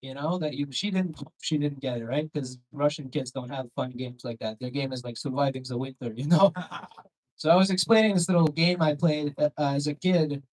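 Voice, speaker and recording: This is a Canadian man doing stand-up on a comedy club stage, in a voice pitched a bit higher than most men's. He talks in a rambling, conversational way with a sardonic edge, swears, and turns to question the audience as he goes. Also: fairly even intonation, brisk, poor audio. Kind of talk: stand-up comedy with crowd work.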